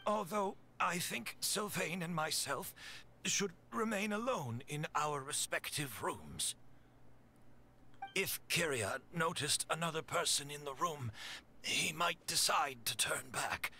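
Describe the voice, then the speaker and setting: An ailing older man, frail and measured, speaking in soft, breathy, halting phrases. The tone is very bright and thin, and it rises and falls widely. A faint hum of background ambience sits under him in this game scene. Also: on the high side, animated, exaggerated voice. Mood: cautious. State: weak from illness.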